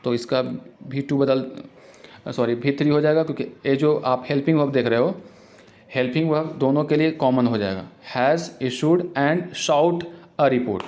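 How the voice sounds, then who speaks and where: A man teaching English grammar for exams, explaining in Hindi and reading English example sentences out slowly. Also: mid-range voice, a little mellow. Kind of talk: exam-prep grammar lesson.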